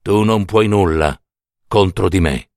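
A man voicing Death as a solemn, cold, stern gardener of souls in a storybook reading, grave and measured. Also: low-pitched, a little mellow, dry recording, clean studio sound, pitched below the performer's own voice. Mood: solemn, implacable.

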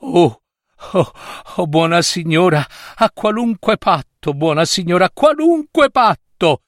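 Guy playing a frantic fairy tale prince begging for his kids, pitched up, halting, swoopy. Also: very animated, no room sound, studio quality. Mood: desperate, pleading. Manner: pleading, repetitive, halting. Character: desperate young prince, anguished loving father.

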